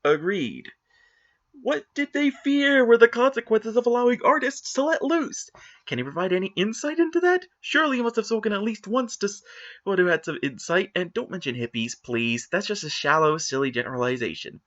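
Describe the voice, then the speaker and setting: A man's voice: high-pitched, big pitch swings, fairly quick, a bit bright, lo-fi sound. A man giving a dramatic reading of online forum comments, with exaggerated, indignant, theatrical emphasis. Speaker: reader of forum posts.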